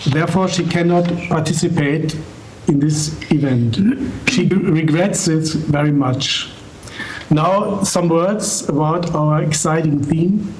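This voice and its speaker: A man with a German accent giving a deliberate, formal prepared greeting over a noisy video call. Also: a little high, darkish tone. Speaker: library staff member giving a greeting. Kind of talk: prepared speech at a launch event.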